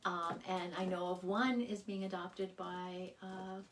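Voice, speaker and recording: A woman's voice: lowish voice, little pitch movement, takes it slowly, fairly bright tone, a little hiss or hum. Matter-of-fact woman giving short answers. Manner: brief, matter-of-fact answers, says yep a lot. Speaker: guest and fellow dog rescuer. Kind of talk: casual chat.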